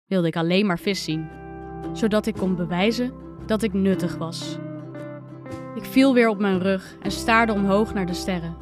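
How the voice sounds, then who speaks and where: A woman reading a scripted first-person children's story with expressive, dramatic delivery. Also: mid-range voice. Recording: music under the voice.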